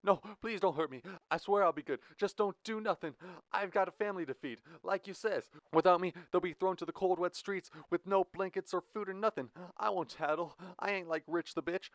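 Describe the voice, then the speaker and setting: A man voicing a scrawny, frightened young male car thief who pleads fast in a high voice. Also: no echo. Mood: pleading. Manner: high-pitched pleading, fast, faked Irish then drawl.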